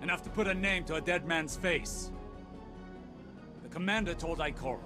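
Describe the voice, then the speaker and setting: An actor playing a stern, shrewd mentor and spymaster in a video game, clipped and commanding, with music behind. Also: male voice, on the high side, normal speed, close to an everyday voice. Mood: stern, reproving. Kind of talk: dramatic video game dialogue.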